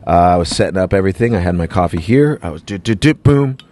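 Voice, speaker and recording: A man's voice: low voice, lively intonation, quick pace, darkish tone. A man hosting his own podcast, talking in a loose, rambling, self-mocking way. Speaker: podcast host. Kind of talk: casual podcast chat.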